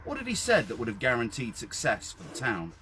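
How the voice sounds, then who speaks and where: A British man with a husky voice reads a literary first-person story outdoors in a measured, expressive storytelling manner. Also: medium pitch, slight background noise. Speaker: author reading own story. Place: outdoors by a well.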